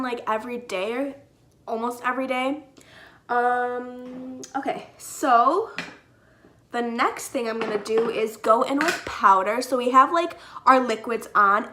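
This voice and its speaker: A woman's voice: on the high side, bright, forceful tone. A young woman with a slightly stuffy nose from a cold, chatting casually through a makeup tutorial with many "like" and "um" fillers. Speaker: beauty vlogger.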